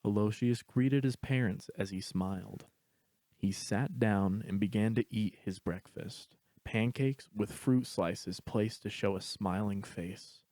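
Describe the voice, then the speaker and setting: A man's voice: fairly low pitch, measured pace, mellow, dry recording. A man reading a scripted story aloud as its narrator.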